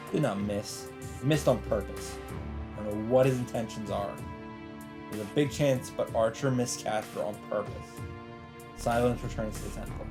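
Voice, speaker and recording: Man narrating dramatically over game music. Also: medium pitch. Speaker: let's play host reading a visual novel aloud. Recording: loud game music behind voice. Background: visual novel soundtrack.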